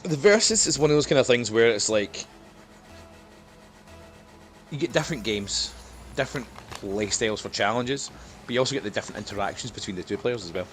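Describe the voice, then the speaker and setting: A man's voice: mid-range voice, animated, a little fast, long pauses, slight background noise. A man in a casual, jokey, sweary chat with friends as a podcast co-host.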